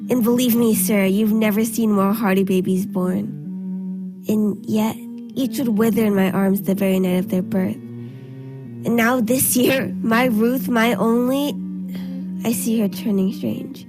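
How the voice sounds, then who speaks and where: A woman's voice: medium pitch, a little slow, over music, natural voice. A woman acting a morbid, superstitious, grieving Puritan mother in a radio play, intense and ominous with archaic phrasing. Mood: grieving, insistent. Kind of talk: period stage drama acting, radio play.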